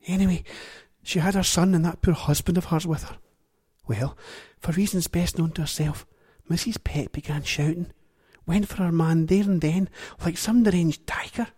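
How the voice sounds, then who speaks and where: Gossipy young checkout girl, high and chattering, put on by a male narrator. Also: moderate pace, darkish tone, breathy edge, no echo, clean studio sound.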